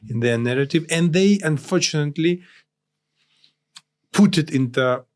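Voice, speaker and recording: A man with a Ukrainian accent, a native Russian speaker, giving political commentary as an interview guest, haltingly searching for words and repeating phrases. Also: a little high, lively intonation.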